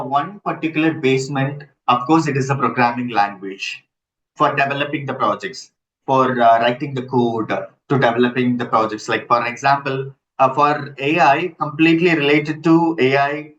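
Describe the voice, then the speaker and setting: A man giving a live online lecture, halting and repeating himself as he checks in with listeners, using his computer's built-in mic. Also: mid-range voice, a bit bright. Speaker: instructor. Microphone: built-in computer mic, no headset. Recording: dull lo-fi computer audio.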